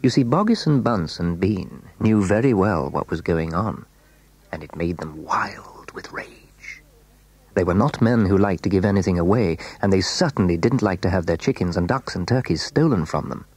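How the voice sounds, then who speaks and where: A man narrating a scripted children's story in a measured, dramatic way, letting phrase endings fall hushed. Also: low voice, animated, slightly rough, dry recording.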